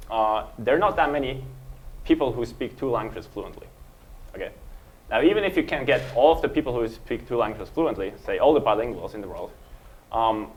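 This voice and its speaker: A man, giving a relaxed conference talk full of ums and uhs. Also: mid-range voice, low-quality recording. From Switzerland. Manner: relaxed, self-deprecating, frequent um and uh. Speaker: panel speaker.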